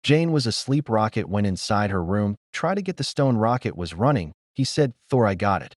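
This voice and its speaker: A man with a lowish voice gives a simple spoken retelling, like an amateur reviewer. His pitch jumps around a lot as he moves through short, loosely built sentences. The sound is dry, with no room around it. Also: sing-song, normal speed, no echo.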